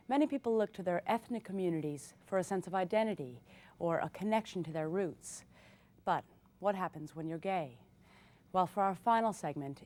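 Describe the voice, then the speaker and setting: A woman narrating a scripted news magazine segment in a measured, even way, with faint hiss behind her. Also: medium pitch. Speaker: host narrator.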